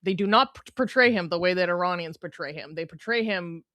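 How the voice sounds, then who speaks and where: A woman guest in a casual political discussion, lively and teasing, laughing often. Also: on the low side, fairly quick, no echo.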